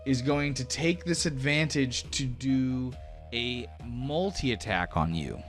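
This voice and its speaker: Male game master, mid-pitched, dramatic storyteller with casual asides, music bed. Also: lively intonation, slow pace. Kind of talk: narrating combat in a tabletop game. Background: background music.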